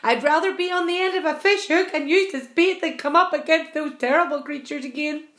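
A woman's voice: on the high side, steady tone, moderate pace, echoey room, natural voice. A woman voicing a gloomy, fearful blind earthworm from a storybook, fretting and wailing. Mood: shrieking dread. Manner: fretful, doom-laden wailing.

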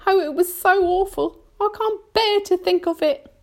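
A woman voicing an anxious, melodramatic female spider from a storybook, mournful and drawn-out in her delivery. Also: very high voice, voice pushed up. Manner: dramatic, drawn-out, lamenting delivery.

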